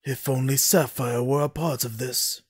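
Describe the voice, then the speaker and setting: A man playing a brooding, caring uncle and clan lord in an amateur anime audio drama, earnest and measured. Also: medium pitch, steady tone, husky, natural voice. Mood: grave.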